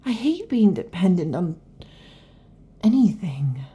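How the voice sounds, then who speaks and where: A woman voicing a restless, irritable female snake-tailed lamia in an ASMR roleplay, huffy and dramatic, often slipping into soft whispers. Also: on the low side, big pitch swings, slow, husky, full character voice.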